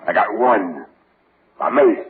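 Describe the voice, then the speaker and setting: A gruff scheming convict, deep low voice, tinny old radio broadcast with hiss. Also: male voice, expressive, slow pace. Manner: gruff streetwise talk. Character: scheming tough male convict escaping prison. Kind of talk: 1940s radio acting.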